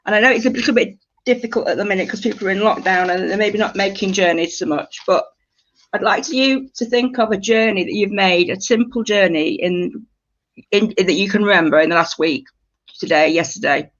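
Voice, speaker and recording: A woman's voice: mid-range voice, a little fast, dull audio. A woman leading an informal writing workshop, giving encouraging instructions and explaining things through examples. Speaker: creative writing workshop leader.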